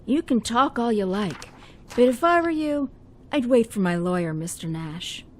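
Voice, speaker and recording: A woman's voice: a little high, animated, moderate pace, a little hiss or hum, close to an everyday voice. A woman playing a cool, no-nonsense sheriff in a video game cutscene, curt, dry and authoritative. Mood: cool, firm.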